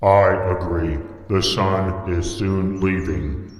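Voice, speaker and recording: A man voicing a polite, literal-minded robot assistant with a formal, deliberate manner in a scripted game roleplay. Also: deep voice, steady tone. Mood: matter-of-fact. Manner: formal, deliberate, matter-of-fact. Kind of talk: scripted Minecraft roleplay acting. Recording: echoey, long reverb, music behind.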